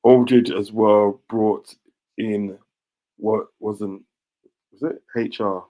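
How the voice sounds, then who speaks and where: Low-voiced laid-back Trinidadian man. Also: little pitch movement, slow, dark tone. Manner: laid-back, slangy, checks in with "you get me". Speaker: co-host reading the live chat. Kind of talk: casual livestream chat.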